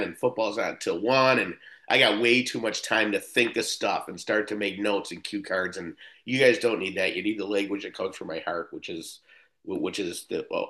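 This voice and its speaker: A man's voice: lowish voice, pushed and bright. A man in his fifties from Buffalo, New York, sharing his story as a speaker at a recovery meeting in a rambling way, with many restarts and fillers. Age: 50s. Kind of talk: personal recovery talk.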